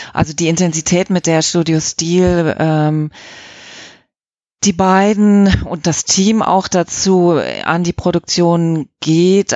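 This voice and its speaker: A woman's voice: low-pitched, a little mellow, dry recording, muffled recording. A woman speaking casually and enthusiastically as an interview guest, with emphatic phrasing. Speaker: interview guest, audio drama editor.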